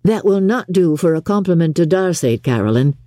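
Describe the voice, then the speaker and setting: A jovial young gentleman from an audiobook, acted by a woman. The pitch moves freely in a mellow voice, easygoing, impulsive and good-natured. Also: animated, fairly quick, no echo, clean studio sound, natural voice. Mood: teasing. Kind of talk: audiobook character acting.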